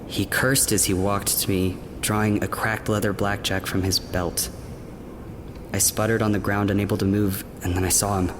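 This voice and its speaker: Man acting a low-voiced, haunted adventurer. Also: level tone, moderate pace, background noise, close to an everyday voice. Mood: haunted, reflective. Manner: subdued, reflective storytelling. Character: haunted young male adventurer recalling enslavement. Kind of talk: fantasy radio play acting.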